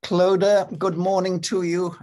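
An older Welsh man, a native Welsh speaker, presenting a webinar over a video call, measured and given to repeating words. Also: high voice, little pitch movement, mellow. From Wales.

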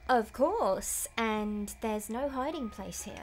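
A woman's voice: fairly high pitch, expressive, slow pace, soft background music. A middle-aged woman giving casual, nervous, rambling commentary on a horror game, sometimes dropping to a whisper. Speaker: game streamer and voice actress. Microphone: desk mic set slightly away from face.

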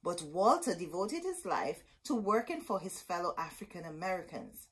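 A woman's voice: fairly low pitch, big pitch swings, moderate pace, breathy edge. A middle-aged woman reading a children's picture book aloud in a dramatic, emphatic storytelling manner. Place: indoor room with bookshelves.

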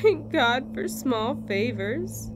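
A woman's voice: high voice, sing-song, slow pace, over music, exaggerated voice. An actress playing a subdued, sorrowful woman in a horror audio drama. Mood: sad, hushed.

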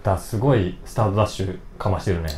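A man's voice: low voice, rapid-fire, darkish tone, echoey room, a little hiss or hum. A man hosting a casual interview, attentively affirming and summing up what he hears. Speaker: interview host, actor and voice actor. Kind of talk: casual interview chat with frequent responses.

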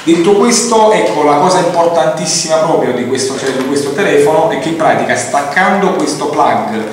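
A man's voice: a little high, expressive, brisk. A man giving an unscripted product demonstration, explaining in a hesitant, repetitive way with frequent verbal tics. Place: indoor room, demonstrating at a tabletop. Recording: echoey room, distant mic, faint noise.

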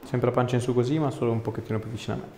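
Osteopath, man, gravelly fry, short informal instructions in a reverberant clinic room. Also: medium pitch, little pitch movement, very fast, a little mellow. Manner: informal, reassuring. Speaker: osteopath treating a patient. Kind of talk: hands-on treatment session talk, giving short instructions and questions. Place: small clinic treatment room, indoors. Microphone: clip-on lapel mic. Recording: echoey room, audible background noise.

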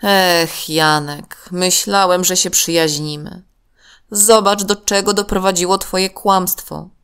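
A woman's voice: on the low side, fairly even intonation, takes it slowly, fairly bright tone, clear, resonant tone. A woman voicing a serious, level-headed, worried young boy in a children's story, earnest and urgent. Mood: disappointed.

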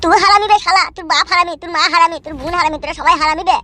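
A voice performer playing an angry young man in a cartoon, who shouts threats and insults at his enemies. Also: very high-pitched, racing, voice pushed down, exaggerated voice. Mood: defiant, threatening. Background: background music.

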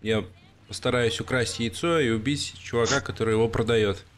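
A man co-hosting a casual gaming news chat, talking in a loose, relaxed way with many fillers and pauses. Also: mid-range voice. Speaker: co-host of a gaming news show.

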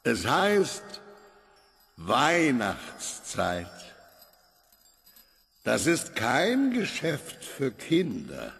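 An actor playing a grand, pompous Santa headmaster, declaiming to an assembly of orphan boys in an audio drama. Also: male voice, medium pitch, huge pitch swings, drawn-out words, vocal fry, long pauses, fairly bright tone, full character voice. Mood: solemn, rousing. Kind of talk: theatrical speech to an assembly. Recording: large reverberant hall, music behind.

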